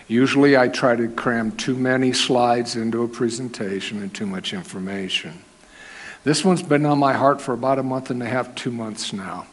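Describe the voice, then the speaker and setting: A man teaching a sermon in church, with a naturally loud voice held down, talking in a conversational way and asking the congregation questions. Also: medium pitch. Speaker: preacher. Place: church hall.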